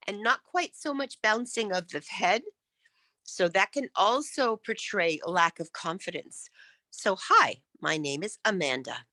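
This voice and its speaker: A woman's voice: mid-range voice, animated, unhurried, pushed and bright, no echo. A woman coaching public speaking in an interactive lesson, encouraging and emphatic as she demonstrates.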